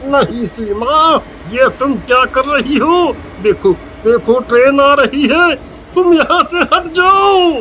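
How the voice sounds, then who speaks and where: A man's voice: very high voice, lively intonation, slow pace, pushed and bright, muffled recording, slight background noise, heavily acted. A man playing a helpless, pleading village husband in a cartoon horror story. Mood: despairing. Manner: pleading, wailing.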